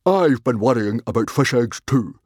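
Guy doing a big dumb hearty viking dad for a kids story, loud blustery husky voice, pitch jumping all over. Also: lively intonation, moderate pace, no echo, studio quality, close to an everyday voice. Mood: boisterous, hearty. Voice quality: booming, husky. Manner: roaring, bellowing, blustering. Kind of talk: children's audiobook character voice.

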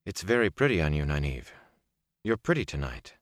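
Guy reading as earnest young village lad, fantasy audiobook hero, stubborn n troubled, lively pitch, dry sound. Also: a little fast, no room sound. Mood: gentle. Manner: earnest, insistent.

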